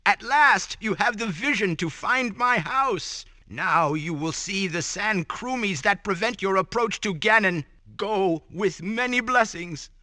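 A man's voice: sits high, measured pace, few pauses, sharp tone, no echo. A man playing a wise old wizard guide, theatrical and declamatory, in a cartoon game cutscene. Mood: solemn, theatrical.

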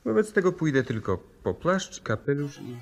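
An actor playing a polite but evasive doctor, a scientist's assistant, formal in 1960s radio play style. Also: male voice, on the high side, very animated, moderate pace, gravelly, exaggerated voice. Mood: reluctant.

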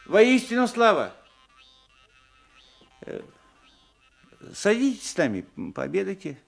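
Meek old peasant, lively pitch. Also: male voice, gravelly, soft background music, heavily acted. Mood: nervous. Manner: hesitant, halting, homely. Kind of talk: Soviet radio play acting. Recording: 1980s radio drama recording.

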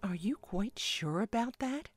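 A woman playing an elderly, deferential royal advisor in an audio drama, formal and halting. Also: mid-range voice, animated, faint music behind. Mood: worried. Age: older.

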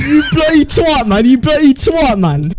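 Man putting on a high-pitched taunting British lad. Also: very animated, measured pace, heavily acted. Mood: mock indignant. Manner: exaggerated, taunting. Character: mock British lad, indignant and crude.

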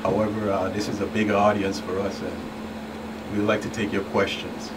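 A middle-aged man giving halting, formal remarks as a guest agent on radio. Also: fairly low pitch, flat delivery. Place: small radio studio. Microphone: boom-arm studio microphone. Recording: slightly distant, clear room hum.

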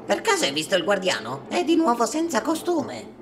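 A man's voice: very high voice, wildly swooping pitch, moderate pace, fairly bright tone, slight background noise, exaggerated voice. A man voicing a cheerful, naive toy bunny robot in a cartoon fan dub, speaking in a bubbly singsong way.

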